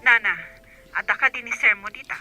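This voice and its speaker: Bossy, distrustful madam in a radio play, high sharp voice over a phone line. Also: female voice, steady tone, brisk, soft background music. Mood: suspicious, scolding. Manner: interrogating, impatient. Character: suspicious, bossy female employer checking on her maid. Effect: telephone filter. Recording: sharp thin telephone-call sound.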